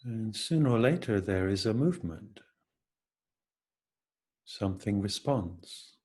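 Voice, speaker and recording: A man leading an unscripted, reflective guided meditation, speaking gently in halting phrases with long pauses. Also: on the low side, expressive, slightly rough.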